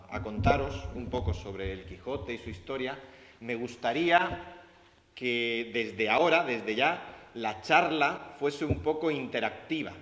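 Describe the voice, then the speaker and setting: Andalusian guy lecturing students, chatty, keeps asking the room stuff, bright punchy voice, big echoey hall. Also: mid-range voice. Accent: Andalusian Spanish. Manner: chatty, asks the audience questions, many restarts. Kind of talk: informal lecture to foreign students.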